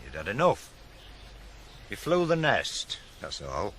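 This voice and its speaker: Curt, cold Northern English dad for radio play, mid-pitched but very animated, faint broadcast noise. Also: male voice, slow pace, exaggerated voice. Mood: guarded. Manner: gruff, clipped, dismissive. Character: curt, bitter working-class father, guarded and cold. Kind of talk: 1980s radio drama acting.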